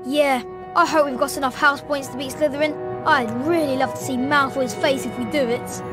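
An actor plays an eager young wizard boy in a video game. The voice is high and youthful, urging a friend along in a chummy way over a clear music bed. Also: fairly even intonation, moderate pace, lightly acted. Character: eager, excitable schoolboy wizard. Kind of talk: video game character dialogue.

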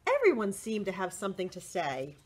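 A middle-aged woman reading a picture book aloud to young children, expressive and deliberate, with short asides to the listeners. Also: mid-range voice, breathy edge. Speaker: children's library storyteller. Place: indoors, small room with bookshelves.

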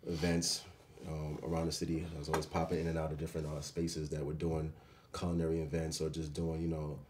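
A man's voice: rumbling low, monotone, brisk, noisy background. A middle-aged man talking casually as an interview guest, often adding little check-in phrases as he goes. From Jamaica and the Bronx, New York. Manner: peppers talk with "you know what I'm saying". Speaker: guest, restaurant owner and chef. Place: small restaurant dining room, indoors.

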